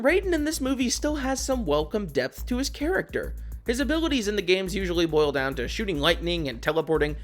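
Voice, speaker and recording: A video essayist reading a scripted film review in a casual, opinionated, sweary way. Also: mid-range voice.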